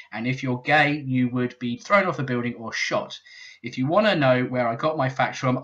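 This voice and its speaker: A British man voicing a ranting, angry male internet commenter, loud and indignant. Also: on the high side, pitched above the performer's own voice, rough recording. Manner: shouty, indignant, escalating.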